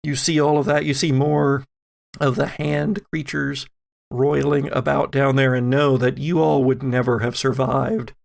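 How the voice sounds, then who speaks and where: A man narrating a tabletop horror game as game master, deliberate and suspenseful, with frequent dramatic pauses. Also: mid-range voice, flat delivery, creaky.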